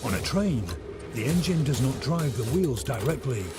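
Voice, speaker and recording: A man's voice: medium pitch, animated, normal speed. A man reading scripted, dramatic documentary narration. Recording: loud music under the voice.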